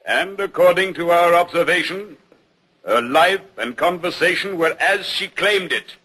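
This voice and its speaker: A man's voice: high voice, animated, pushed and bright, husky, full character voice, rough recording. An actor playing a solemn village man formally reading to a court in an old radio drama. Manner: deliberate, formal.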